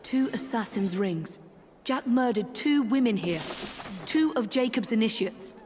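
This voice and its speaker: A woman's voice: a little high, lively intonation, measured pace, slight background noise. An actress playing a determined, grim assassin in a video game, speaking tersely and seriously as she works things out to herself. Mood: focused musing. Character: determined, grim female assassin hunting a killer. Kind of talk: dramatic video game acting. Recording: loud game music behind.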